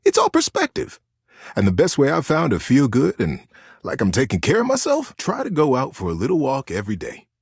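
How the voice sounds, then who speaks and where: A man voicing a cuddly, earnest talking teddy bear in a video game, giving cozy, folksy encouragement with drawn-out words. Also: medium pitch, wildly swooping pitch, gravelly, husky, no room sound, studio quality, exaggerated voice. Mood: gentle, encouraging. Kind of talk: video game character acting.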